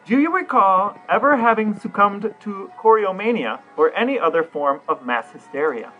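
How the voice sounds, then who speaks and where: A man with an American accent and a fairly high voice talks casually as a podcast co-host, putting questions in a conversational way. The audio is slightly dull and lo-fi, with some music behind him. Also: lively intonation, moderate pace. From Ohio, United States.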